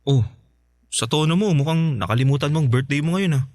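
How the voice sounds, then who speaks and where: A man's voice: a little high, fairly quick, a little mellow, no room sound, clean studio sound, lightly acted. A man acting a playful, doting boyfriend in a radio drama, coaxing, with a Filipino accent. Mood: teasing.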